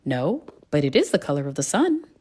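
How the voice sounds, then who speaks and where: A woman's voice: low-pitched, huge pitch swings, a little fast, dark tone, breathy edge, heavily acted. A woman voicing a proud, defensive crayon in a children's storybook, self-satisfied and dramatic. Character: proud, defensive crayons showing off their drawings. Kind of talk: children's storybook read-aloud.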